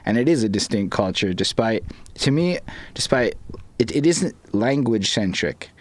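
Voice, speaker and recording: A man's voice: fairly low pitch, expressive, moderate pace. A man from Quebec, co-hosting a podcast in a laid-back, chatty way. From Quebec, Canada. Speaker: podcast co-host. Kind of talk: casual chat.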